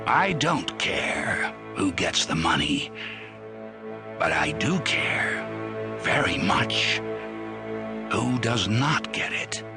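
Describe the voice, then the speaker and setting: An actor playing a weary, embittered rich old man in a dramatic, brooding audiobook narration. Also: medium pitch. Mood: weary, bitter. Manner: deliberate, brooding, theatrical. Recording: music behind the voice.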